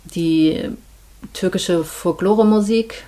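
A woman from Hamburg, a midwife, talking in a relaxed interview and pausing often to gather her thoughts. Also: fairly low pitch. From Germany, Hamburg. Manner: thoughtful, many hesitations. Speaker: midwife, podcast guest. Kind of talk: casual interview chat.

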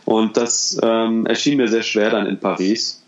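A man's voice: fairly low pitch, fairly even intonation, measured pace. A man in his forties giving a casual interview, speaking hesitantly with many fillers and restarts. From Germany. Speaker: interview guest, restaurant owner. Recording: slightly dull, faint noise.

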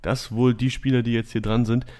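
A young man hosting a podcast quiz, reading out clues in a calm, measured way. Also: mid-range voice. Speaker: quiz host.

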